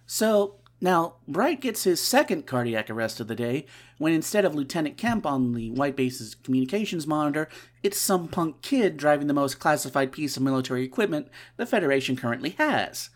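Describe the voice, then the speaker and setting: A stuffed-up podcast host with a nasal voice, recapping a comic casually in a middling pitch. The host keeps breaking off for wry, sarcastic asides. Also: big pitch swings, normal speed. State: stuffy nose.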